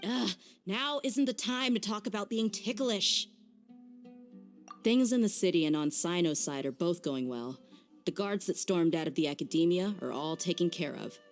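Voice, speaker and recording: A brash young mercenary woman talks in a low pitch, blunt and casual. She sounds slightly gruff but friendly, in video game style, with soft music behind. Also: animated, normal speed. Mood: flustered. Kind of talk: animated video game dub.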